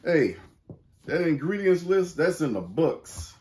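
A man's voice: fairly high pitch, takes it slowly. Folksy joking middle-aged man, roomy sound. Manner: joking, folksy, relaxed with slang. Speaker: church cooking segment host. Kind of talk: casual church cooking chat. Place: living room at home, indoors. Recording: roomy echo.

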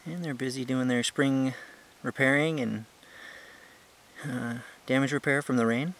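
A young man casually narrating a hiking vlog outdoors on a trail, explaining things in a relaxed, chatty way with many fillers, recorded on the handheld camera he films with. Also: medium pitch, measured pace. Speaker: ant-keeping channel host. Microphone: handheld camera he is filming with.

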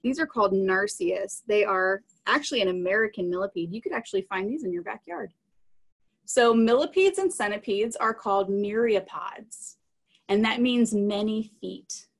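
A woman in her thirties speaks as a science educator at a middling pitch. She explains things plainly and informally, in words children can follow, into a computer mic in a room at home. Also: normal speed, vocal fry. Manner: explanatory, plain-spoken, addressing children simply. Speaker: science educator presenting live animals. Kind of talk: informal live educational talk with audience questions. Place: small room at home, indoors. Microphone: computer mic on a video call.

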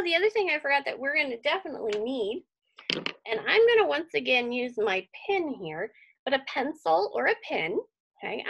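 A woman's voice: high-pitched, gravelly, fairly bright tone, rough recording. A woman giving a casual step-by-step craft tutorial for children, chatting and thinking aloud in a reassuring way. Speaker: craft teacher. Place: indoor room at home.

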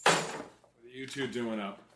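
A man's voice: medium pitch, fairly even intonation, slow pace, close to an everyday voice. An actor playing a bitter, sarcastic thirty-year-old son who needles his parents in an audio drama. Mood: sullen. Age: 30s. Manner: sardonic, needling. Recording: roomy, with loud background noise.

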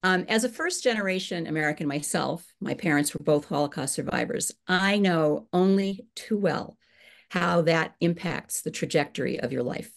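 A woman's voice: fairly low pitch, animated, moderate pace, gravelly. A woman in her sixties moderating a video call interview, affirming her guest and asking questions. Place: home room.

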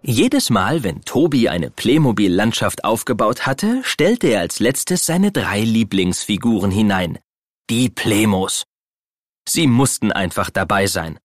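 A man narrating a children's audio play as an expressive, dramatic storyteller. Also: lowish voice, unhurried, dry recording, clean studio sound.

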